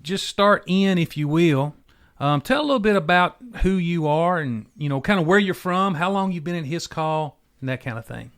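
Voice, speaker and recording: A man's voice: on the high side, moderate pace, a bit bright, clear, resonant tone. A man hosting a podcast, encouraging and folksy as he draws out his guests. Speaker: podcast host. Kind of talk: casual podcast interview.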